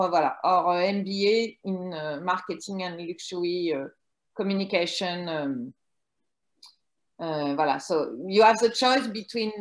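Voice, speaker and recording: A woman with a French accent, a native French speaker, explaining fashion PR haltingly with many fillers and restarts. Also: medium pitch, roomy sound, rough recording. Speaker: fashion PR guest. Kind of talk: hesitant conversational explaining.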